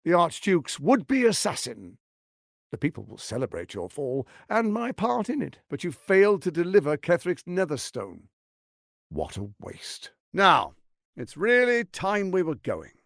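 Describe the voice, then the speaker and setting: Scheming fantasy game tyrant, pompous, menacing, mock-courteous, wide swooping intonation, gravelly edge, very dry close sound. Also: male voice, medium pitch, dry recording, heavily acted. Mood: gloating, scornful. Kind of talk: theatrical video game character acting.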